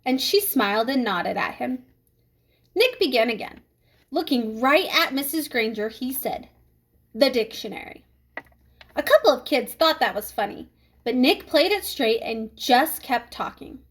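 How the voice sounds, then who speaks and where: A woman reading a children's book aloud with expressive storytelling phrasing. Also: high-pitched, moderate pace, slightly rough.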